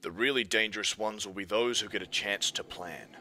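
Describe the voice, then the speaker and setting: A man acts a brooding vigilante detective in an amateur audio drama. The voice is very low, forceful and gravelly, grim and terse. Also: a little fast, slight background noise. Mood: determined. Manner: terse, serious. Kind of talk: fan-dub audio drama acting.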